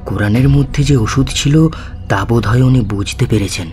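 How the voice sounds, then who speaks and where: A man's voice: mid-range voice, normal speed, darkish tone, close to an everyday voice. A man playing an earnest, thoughtful young monk in an audio drama. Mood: grave. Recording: music under the voice.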